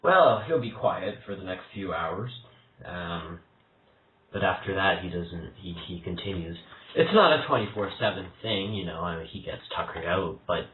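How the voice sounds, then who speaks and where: A man's voice: low-pitched, expressive, normal speed. A young man making a prank phone call, stammering and hesitant in a mock-earnest tone. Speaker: prank caller. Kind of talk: prank phone call, posing as a troubled single father. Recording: tinny phone line, echoey room, faint hiss.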